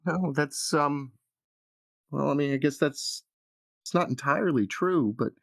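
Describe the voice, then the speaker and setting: A man voicing a flustered, regretful woman in a tabletop game, halting and earnest as a wife pleading her case. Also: on the high side, gravelly, a bit bright, no echo, studio quality. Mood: defensive, hesitant. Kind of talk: tabletop roleplay acting.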